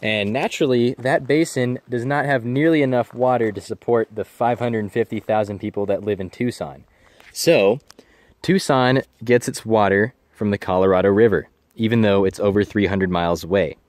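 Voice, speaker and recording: A man's voice: lowish voice, normal speed, no echo. A young man talking casually to viewers while hiking a desert canyon outdoors, filming himself with a camera held at arm's length. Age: young adult. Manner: relaxed, informal, explaining to viewers while hiking. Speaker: travel vlogger.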